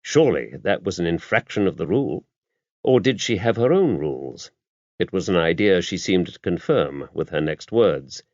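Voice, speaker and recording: Expressive low-voiced male audiobook narrator. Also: moderate pace, dry recording. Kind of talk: scripted mystery fiction narration. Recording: slightly dull, dry audiobook recording.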